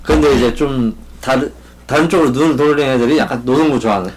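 A young man in his twenties chatting casually on a podcast, talking frankly in halting phrases with repeated words. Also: medium pitch, husky, rough recording. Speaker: podcast co-host. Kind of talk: casual chat.

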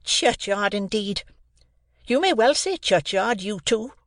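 A man voicing a harsh, bullying older housewife who scolds loudly, in a storybook reading. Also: high voice, expressive, slow pace, no echo, clean studio sound, pitched above the performer's own voice, full character voice. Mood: scornful. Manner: loud, scolding, stressed words.

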